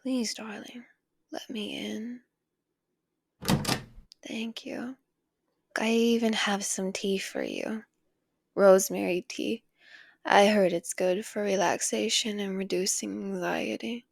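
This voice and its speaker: A woman playing a possessive, doting female villain in an intimate ASMR roleplay, coaxing and tender, with a breathy voice that often drops to a whisper. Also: mid-range voice, animated, takes it slowly, long pauses, no room sound, clean studio sound, exaggerated voice. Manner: coaxing, tender, lingering on pet names.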